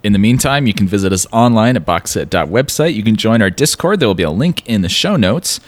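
Brief: male podcast co-host, wry and lively, dry close sound. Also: fairly low pitch, quick pace, dry recording. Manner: dry, joking. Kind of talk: casual podcast chat.

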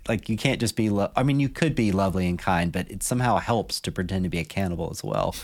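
A man's voice: low voice, fast. A man co-hosting a podcast in casual chat, rambling and playful with many restarts. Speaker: podcast co-host.

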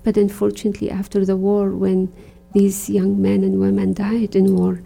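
A woman from Iraq, a religious sister, speaking earnestly and reflectively in an interview, often pausing with "you know". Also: mid-range voice, monotone, vocal fry, dark tone, slightly rough, faint music behind. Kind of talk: conversational interview.

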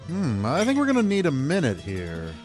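A man plays an American tourist and devoted fiance in a hammy radio sketch. His voice is highish and very animated, earnest and stagy, with loud band music behind. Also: moderate pace. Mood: fond, polite.

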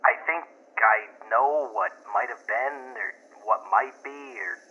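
An actor playing a flailing, earnest young boyfriend in an audio drama, rambling remorsefully and haltingly in a husky voice. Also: male voice, mid-range voice, bright, forceful tone, a little hiss or hum. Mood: earnest, fervent. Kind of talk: found-footage audio drama acting. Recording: muffled, lo-fi phone voicemail.

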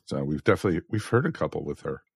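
A man's voice: a bass voice, lively intonation, rapid-fire, gravelly, slightly rough, no room sound, studio quality. An American man from the Southeast co-hosting a podcast, chatting casually and addressing listeners in a folksy way. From southeastern United States. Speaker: podcast co-host. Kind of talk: casual chat.

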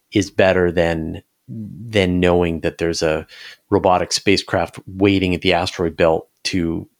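A man with a fairly low voice talks casually about science. He thinks out loud, restarting and rewording his points as he builds an argument. It sounds like an informal podcast discussion. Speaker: science interviewer and podcast guest.

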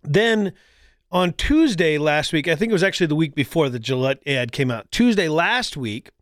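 A man hosting a talk show, chatting casually in a rambling way, full of ums and restarts. His voice sits a little high for a man. The studio sound is dry and close. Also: expressive, moderate pace, dry recording. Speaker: podcast and radio show host. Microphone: studio microphone.

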